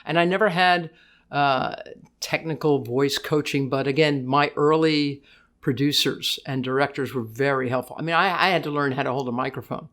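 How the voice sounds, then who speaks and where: An older woman with a deep voice, a guest in a casual interview, talking fast in bursts, often restarting her sentences and laughing easily. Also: a bit bright. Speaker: guest, tennis broadcaster.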